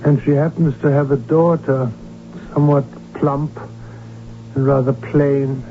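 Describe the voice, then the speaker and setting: An actor in a radio drama playing a cautious royal doctor and confidant, formal and precise in speech. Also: male voice, mid-range voice, level tone, unhurried, a little hiss or hum, close to an everyday voice. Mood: cautioning. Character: cautious, concerned male royal physician and confidant. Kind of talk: radio drama acting and in-character narration. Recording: dull vintage radio broadcast, faint music.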